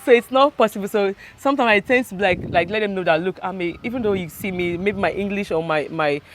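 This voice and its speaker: A woman's voice: mid-range voice, fast talker, slight background noise. Nigerian woman chatting with easy hesitation. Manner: relaxed, hesitant with many fillers. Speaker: interviewee. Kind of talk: casual interview chat. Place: home in Ghana.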